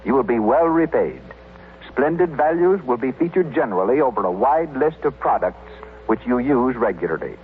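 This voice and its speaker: A man's voice: medium pitch, animated, moderate pace, phone-quality sound. An American man working as a 1930s radio announcer, reading a script in a formal, polished way. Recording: thin, faintly hissy 1930s radio recording.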